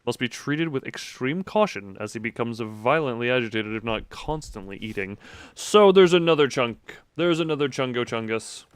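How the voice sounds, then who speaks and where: A man commentates casually on the game he is playing. His voice sits at an average pitch. He rambles and tosses in jokey, exclamatory asides, and the recording is very dry and close. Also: lively intonation, normal speed, clean tone, dry recording. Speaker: live streamer playing a horror game. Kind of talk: casual live gameplay commentary.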